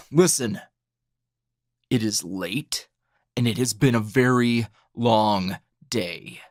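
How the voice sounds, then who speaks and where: A man playing a tired, gruff, dryly teasing hero schoolteacher in an ASMR roleplay, speaking low and gravelly in a halting, understated way. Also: no room sound, studio quality. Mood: irritated, weary. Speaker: voice actor.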